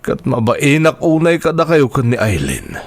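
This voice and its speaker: Regretful Filipino uncle, swinging intonation. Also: male voice, mid-range voice, huge pitch swings, normal speed, gravelly, no room sound, full character voice. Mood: remorseful, humble. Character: regretful, disheartened uncle of a graduate, humbled and apologetic. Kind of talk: Ilocano radio drama acting.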